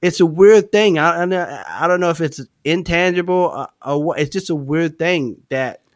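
New drummer, bright, dry sound. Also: male voice, a little high, moderate pace, no room sound. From New Orleans. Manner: loose, reflective, drifts between loud and soft. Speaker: drummer guest. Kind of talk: casual interview chat.

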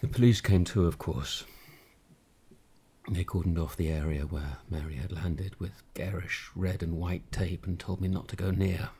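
A man acting a worn-down British husband telling his tragic story in a radio-style drama. His voice is husky and full of gravel, heavy with grief and exasperation. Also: low-pitched, warm tone, exaggerated voice. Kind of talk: dramatic first-person monologue.

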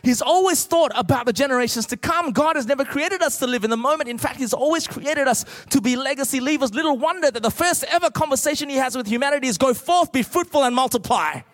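Male preacher, middle-aged, Australian accent. Notably high voice, emphatic comic storyteller, big reverberant hall. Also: expressive, quick pace, hardly any pauses, a bit bright. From Australia, born in Malaysia. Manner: emphatic, rhetorical questions to the congregation. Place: large church auditorium, speaking to a congregation.

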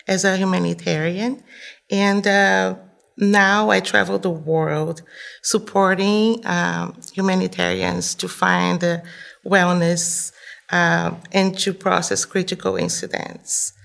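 A woman from Brazil speaks English with a gravelly edge of vocal fry. She talks reflectively as an interview guest, pausing on fillers and restarting phrases as she thinks. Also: medium pitch, steady tone, a bit bright. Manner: thoughtful, with frequent fillers and restarts. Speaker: psychotherapist and humanitarian guest.

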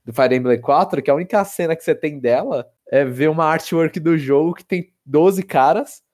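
A man's voice: a little high, moderate pace, a little mellow. A man, co-hosting a casual podcast chat about video games, rambling with many restarts. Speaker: podcast co-host.

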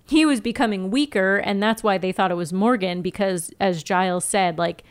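A woman's voice: medium pitch, normal speed, smooth. A woman co-hosting a podcast, recapping TV in a casual, wry, sweary storytelling way. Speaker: podcast co-host. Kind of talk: casual TV episode recap chat.

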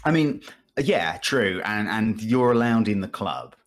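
Low, animated man joking on a podcast. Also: a little slow. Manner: jokey, dry mock-grandiose teasing. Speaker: podcast guest. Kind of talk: casual podcast banter about video games.

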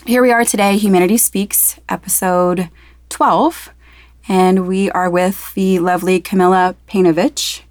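A woman's voice: fairly low pitch, steady tone, a little slow, darkish tone, dry recording. A woman hosting a podcast interview, talking in an enthusiastic, effusive way. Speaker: podcast host.

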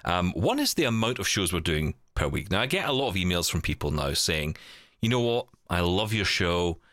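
A Scottish man hosts a casual podcast with a jokey, self-deprecating manner. His voice is fairly low but bright and very animated, swinging up and down as he banters. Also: normal speed, no echo, clean studio sound. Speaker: podcast host.

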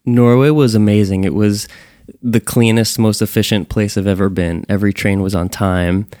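A young man from New Jersey talks as an interview guest in a low voice, sounding a little tired. He is earnest and self-deprecating, often restarting his phrases. The sound is dry and close. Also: fairly even intonation, normal speed, a little mellow, no echo. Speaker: guest, band frontman. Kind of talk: casual interview chat.

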